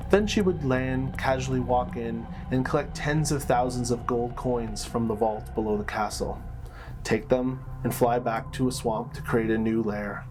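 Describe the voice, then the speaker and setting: A man with a medium-pitched voice narrates fantasy lore like a game master at the table. His telling is dramatic but conversational. Soft plucked-string music plays behind him. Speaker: storyteller, game master and channel host.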